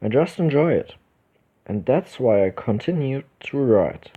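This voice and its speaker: Slow-talking German man, dull lo-fi mic. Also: mid-range voice, expressive, mellow, breathy edge. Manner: halting reading, stumbles over words and corrects himself. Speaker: gaming video host. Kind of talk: casual let's play, reading visual novel text aloud. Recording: dull lo-fi microphone, music behind.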